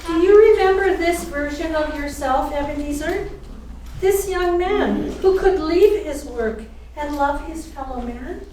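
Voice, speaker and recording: A woman acting in a radio play as a gentle, solemn small child spirit, with measured, old-fashioned phrasing. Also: high-pitched. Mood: gently questioning. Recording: echoey, distant stage recording, faint noise.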